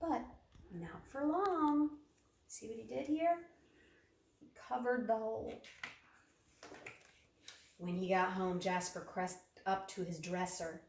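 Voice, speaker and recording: A woman reading a children's picture book aloud in an expressive, dramatic way, putting questions to young listeners. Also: fairly high pitch, slow pace, pauses often, far from the mic, slight background noise. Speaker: storybook reader. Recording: roomy echo, off the mic.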